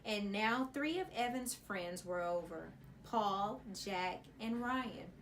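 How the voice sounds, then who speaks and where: Female storyteller for children's chapter book, expressive teacherly read, mid pitch, slight background noise. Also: takes it slowly. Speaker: elementary school teacher reading aloud.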